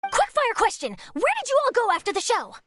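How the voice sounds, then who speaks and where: A woman playing an eager, nosy young woman reporter who fires off quick questions in a video game. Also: very high voice, wildly swooping pitch, very noisy, heavily acted. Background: game music.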